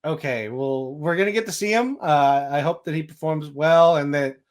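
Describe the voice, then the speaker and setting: A man's voice: fairly high pitch, normal speed. A man hosting a sports podcast, giving casual, matter-of-fact baseball analysis.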